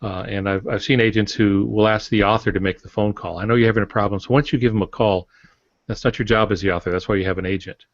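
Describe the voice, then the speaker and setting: Male author for podcast discussion: lowish pitch, plainspoken and colloquial, slightly muffled audio. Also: steady tone, quick pace. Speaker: author, podcast guest or panelist. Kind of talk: casual explanatory podcast talk. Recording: dull, roomy podcast audio.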